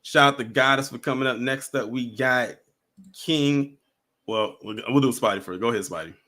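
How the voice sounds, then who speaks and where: A man's voice: mid-range voice, normal speed, sharp tone. A man hosting a casual talk show, chatting with his guests. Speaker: host.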